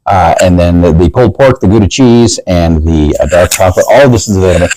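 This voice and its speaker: A man's voice: low-pitched, fast, mellow, no echo, poor audio. A man hosting a casual tasting interview in a folksy, chatty way, talking to his guest and plugging promotions. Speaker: show host.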